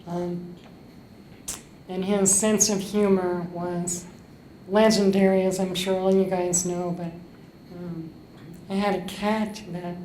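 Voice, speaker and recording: Middle-aged woman, low even mellow voice. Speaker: eulogist at a memorial, sister of the deceased. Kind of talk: personal eulogy with anecdotes. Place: indoor hall. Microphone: microphone on a boom stand. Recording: roomy echo, clear background noise.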